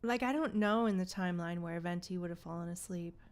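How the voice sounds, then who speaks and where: A woman's voice: fairly low pitch, brisk. A woman livestreaming a game, chatting casually and reacting with exclamations and joking, slangy asides.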